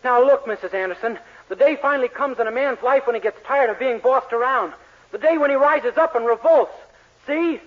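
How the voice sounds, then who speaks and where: High-voiced, naive young radio fiancé. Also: male voice, brisk. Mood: feebly defiant. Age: young adult. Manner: timid, polite, innocent. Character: naive, meek, literal-minded young fiancé. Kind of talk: 1940s radio sitcom acting. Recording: very noisy, muffled 1940s radio recording.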